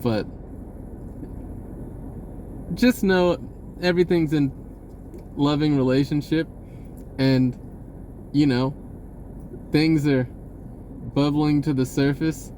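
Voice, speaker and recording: A man with a fairly high-pitched voice delivers a casual, unscripted monologue. He speaks in short, broken phrases and often circles back on himself as he goes. Some background noise sits faintly behind his voice. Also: fairly even intonation, slow pace, pauses often, mellow. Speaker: vlogger giving a personal message.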